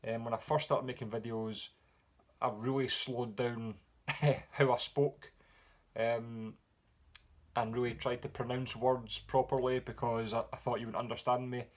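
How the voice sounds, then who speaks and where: A man's voice: medium pitch, unhurried. Rambling Scottish man vlogging. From Scotland. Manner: relaxed, rambling with many ums. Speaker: music vlogger. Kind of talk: casual Q&A vlog.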